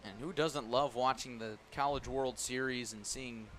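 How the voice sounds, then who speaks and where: A man works as a baseball radio announcer, calling the game live. His mid-pitched voice sits over a clear bed of stadium ambience and background noise. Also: steady tone, normal speed, fairly bright tone.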